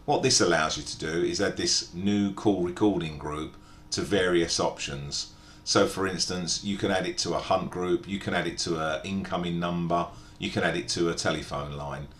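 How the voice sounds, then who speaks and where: A man narrating an informal step-by-step software tutorial in a methodical, explanatory way. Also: moderate pace, hardly any pauses. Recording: echoey room, background hiss and rumble.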